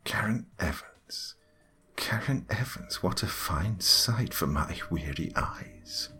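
A man voicing an evil alien demon queen in a horror story, with a raspy, slithering, mock-tender and menacing delivery. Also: low-pitched, lively intonation, slow, pushed and bright, whispered, faint music behind, voice pushed up, heavily acted. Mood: sinister, coaxing.

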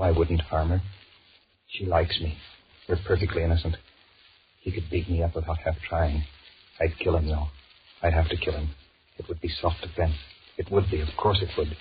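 Male actor as cowardly, blustering middle-aged executive, very low voice, anxious stammer and muttered thoughts, old-time radio horror, dull noisy recording. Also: lightly acted. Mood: brooding, indignant. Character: panicky married businessman, blustering and cowardly, secretly scheming. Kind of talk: 1940s radio horror acting with inner monologue.

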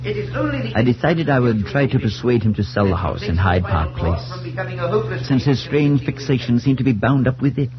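Muffled old radio recording with music, low-voiced concerned friend and narrator, earnest, firm, 1940s suspense drama. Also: male voice, very animated, unhurried. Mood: grave, measured. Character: steady, concerned male friend narrating a supernatural tale.

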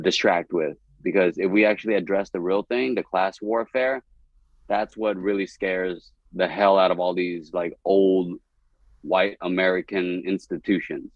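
Low-voiced man, dry and muffled. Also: no echo. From East Dallas, Texas. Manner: animated, rambling, laughs often. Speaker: comedian guest. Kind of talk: candid podcast conversation. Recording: dull, dry podcast audio.

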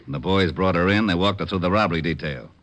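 An actor playing a dry, matter-of-fact police homicide lieutenant in a vintage radio drama. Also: male voice, low-pitched, quick pace, fairly bright tone, no echo. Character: dry, seasoned male New York police homicide lieutenant. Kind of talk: 1940s-50s radio detective acting.

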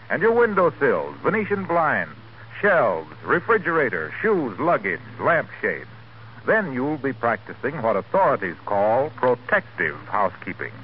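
American guy doing an old 1940s radio ad read, very animated, dull hissy recording. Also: mid-range voice. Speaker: radio announcer. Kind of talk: 1940s sponsor read, scripted.

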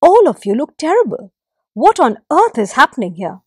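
A woman voicing a kind male creator god who comforts and commands the animals in a warm, reassuring way. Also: a little high, very animated, normal speed, no echo, studio quality. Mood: alarmed.

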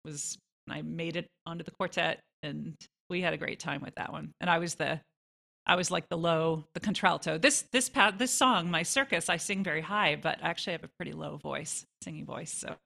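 Female interview guest, low creaky voice, informal and chatty delivery. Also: moderate pace, pushed and bright. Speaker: guest songwriter. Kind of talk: casual interview answers.

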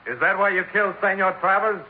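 An actor playing a tough, cool-headed American insurance investigator, terse and dry, in 1950s radio detective style. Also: male voice, high-pitched, fairly even intonation, fast, slight background noise, natural voice. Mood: urgent. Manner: terse, hard-boiled, dry. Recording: tinny narrow-band old radio recording.

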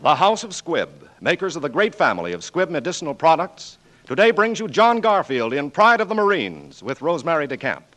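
Male announcer, 1940s radio, formal, animated and high, music underneath. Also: fairly quick, low-quality recording. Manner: formal, emphatic.

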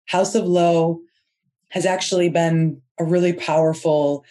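A woman's voice: lowish voice, steady tone. A woman hosting her own podcast, talking in an earnest, heartfelt way and stretching out the words she stresses. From United States. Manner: earnest, soft-spoken and heartfelt, drawn-out emphasis. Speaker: podcast host. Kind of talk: inspirational podcast monologue.

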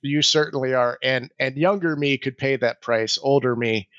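A man's voice: medium pitch, steady tone, normal speed, a bit bright, no room sound, highs cut off. A man hosting a podcast, chatting informally and easily as he asks questions. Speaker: podcast host. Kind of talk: casual interview chat.

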